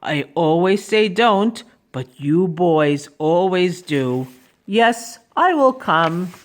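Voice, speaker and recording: A woman voicing a knowing, resigned man who gently scolds in a children's storybook. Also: fairly low pitch, animated, slow, voice pushed down. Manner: resigned, chiding. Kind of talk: children's storybook read-aloud.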